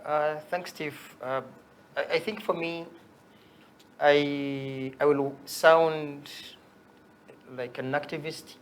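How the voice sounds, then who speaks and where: A man's voice: a little high, fairly even intonation, slow pace, long pauses. A young man with a Ugandan accent, an activist guest on a talk show, who makes wry jokes as he speaks. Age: young adult. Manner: wry and joking, laughs at his own points.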